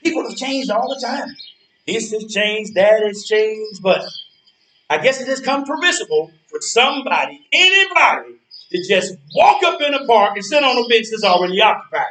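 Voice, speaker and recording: High-pitched male, acted bitter older park-bench man, menacing, deliberate, folksy, stage drama, echoey room. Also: sing-song, bright, forceful tone, slight background noise, heavily acted. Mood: brooding resentful. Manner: slow deliberate threats, folksy colloquial phrasing. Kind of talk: stage play acting. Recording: roomy, echoey, distant from microphone.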